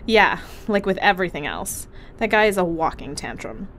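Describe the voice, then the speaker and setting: A woman's voice: fairly low pitch, sing-song, moderate pace, very noisy. A woman acting in an audio drama as a brash, irritable, foul-mouthed ship medic with a blunt, sarcastic, defensive manner.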